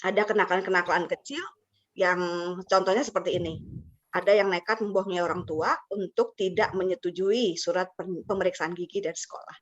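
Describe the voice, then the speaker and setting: A woman's voice: lowish voice, fairly even intonation, a little fast. A woman hosting an interactive online webinar, chatty and engaging listeners with questions. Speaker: webinar host and teacher mentor. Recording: muffled lo-fi online call audio.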